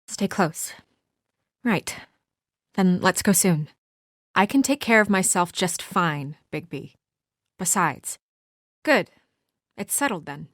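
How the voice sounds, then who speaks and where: An actress playing a brisk, exasperated, dutiful young professional woman in a video game, speaking in a crisp, earnest, businesslike way. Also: on the low side, no room sound, clean studio sound, close to an everyday voice. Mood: firm, businesslike.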